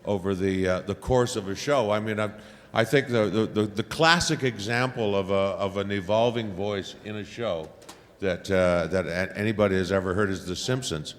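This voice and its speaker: A man with a big voice speaking hesitantly as a voice actor on a convention panel. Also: fairly low pitch. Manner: rambling, hesitant, fills pauses with uh. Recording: hall reverb from convention room.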